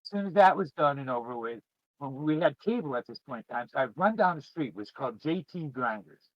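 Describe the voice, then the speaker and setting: A man's voice: mid-range voice, expressive, fairly quick, dry recording. Middle-aged man rambling casually, dull lo-fi sound. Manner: rambling, informal, emphatic with swearing. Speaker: podcast host and streamer. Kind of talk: casual chat, reminiscing to viewers.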